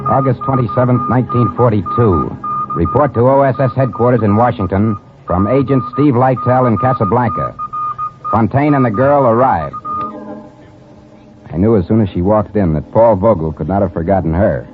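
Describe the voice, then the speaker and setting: A man's voice: mid-range voice, huge pitch swings, moderate pace. A man acting a businesslike intelligence agent, narrating an official report in a clipped manner in a 1950s radio drama. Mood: official, reflective. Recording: muffled radio recording under loud music.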